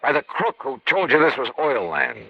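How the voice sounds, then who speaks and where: An actor playing a wry, quick-witted American investigator, both narrating and acting in 1950s noir radio style. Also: male voice, medium pitch, very animated. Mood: wry, serious. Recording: noisy, dull vintage radio recording.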